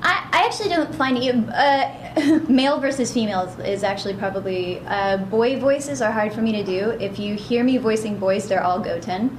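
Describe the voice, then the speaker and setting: A woman's voice: on the high side, moderate pace, hardly any pauses. A woman with a young-sounding voice and a bubbly, upbeat manner, chatting as a voice actress in an interview. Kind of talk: casual interview chat. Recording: echoey room with background noise.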